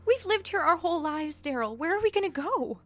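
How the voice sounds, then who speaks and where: Woman playing a high-voiced, anxious young wife. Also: very animated, a little fast, fairly bright tone, exaggerated voice. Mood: anxious disbelief. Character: naive, pleading, shallow wife who stayed young. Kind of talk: audio horror drama acting. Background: background music.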